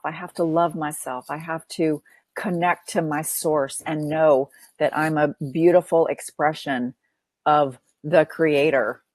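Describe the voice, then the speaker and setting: Woman, counselor, interview guest, low pitch, earnest, repeats phrases, dry close sound. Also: dry recording. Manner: earnest, repeats phrases while building a point. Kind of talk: podcast interview.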